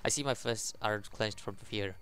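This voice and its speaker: A man hosting a gaming video, reading a visual novel aloud in a halting way, with casual commentary. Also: fairly low pitch, pushed and bright, clean tone. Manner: halting, stumbles over the text.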